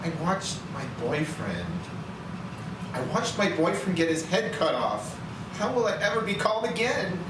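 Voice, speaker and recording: A woman's voice: fairly low pitch, sing-song, moderate pace. A woman playing a shaken, foul-mouthed young girl with some defiance in a screenplay reading. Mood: dazed, horrified. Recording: very echoey, distant, clear noise.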